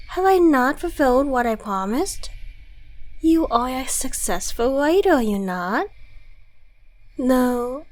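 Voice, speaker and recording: Suave devil for audio roleplay, played by a woman: high-pitched, slow, courteous, smug, quietly dangerous. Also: heavily acted. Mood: knowing, persuasive. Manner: theatrical, silky, condescending politeness. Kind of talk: ASMR audio roleplay monologue.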